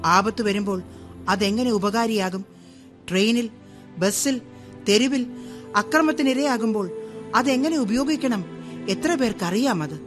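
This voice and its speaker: An actress in a radio drama monologue, playing a middle-aged Malayali wife and mother from Kerala who is chatty, wry and outspoken about women's rights, talking straight to the listener in a brisk, ironic way. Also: on the high side, music in the background, lightly acted. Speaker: monologue narrator.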